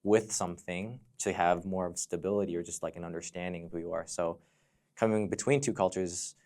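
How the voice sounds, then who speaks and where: Deep-voiced, reflective American man. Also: fairly even intonation, fairly quick, darkish tone. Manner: reflective, explanatory, often says "you know". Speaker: podcast guest, fire dancer and entrepreneur. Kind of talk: conversational personal storytelling.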